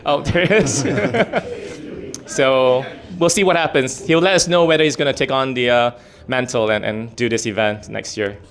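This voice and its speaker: A man with a deep voice today, hosting an event in a hotel meeting room, chatty and quick to laugh. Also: fairly bright tone. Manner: chatty, laughs easily, runs the agenda. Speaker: event host.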